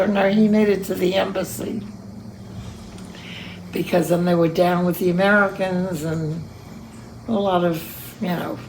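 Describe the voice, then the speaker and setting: A woman of about a hundred recalling her past in an interview, speaking slowly and reminiscing, hard of hearing. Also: on the low side, creaky, a little mellow, slight background noise, rough recording. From Cuba. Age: 100. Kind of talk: oral history interview.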